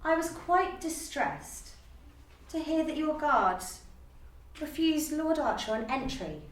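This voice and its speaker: High-voiced woman auditioning in echoey room. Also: lively intonation, slow pace. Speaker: actor auditioning. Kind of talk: audition speech and casual chat. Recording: very echoey room, distant mic, faint noise.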